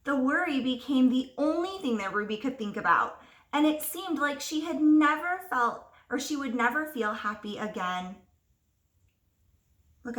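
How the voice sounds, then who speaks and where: A woman teacher reading a picture book aloud to young children, expressively, pausing to ask them simple questions. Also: sits high. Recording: roomy echo, distant microphone.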